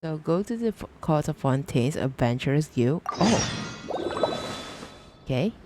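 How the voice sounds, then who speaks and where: A woman's voice: deep voice, sing-song, a little slow, background noise. A woman live-streaming a video game with casual, hesitant commentary, often murmuring asides to herself.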